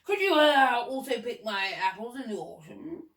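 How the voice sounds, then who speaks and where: A woman's voice: on the high side, sing-song, normal speed, fairly bright tone, voice pushed up. Woman as a blustering, bellowing old male Duke. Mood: gruffly curious. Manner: bellowing, pompous, indignant upper-class exclamations. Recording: roomy echo, distant from the mic.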